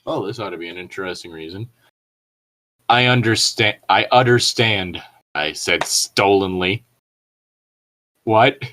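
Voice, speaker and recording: A man's voice: sits low, measured pace, pauses often, sharp tone. A man co-hosting a comedic reading, chatting casually with rambling explanations and some mild swearing. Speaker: co-host reading and riffing on fan fiction.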